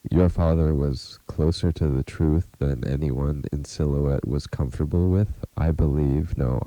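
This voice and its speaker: A man playing a grave, haunted researcher in an audio drama, confiding a warning in a deliberate, ominous, earnest way. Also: very deep voice, creaky, dark tone, dry recording, full character voice, low-quality recording. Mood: certain, ominous. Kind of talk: scripted audio drama monologue, recorded message.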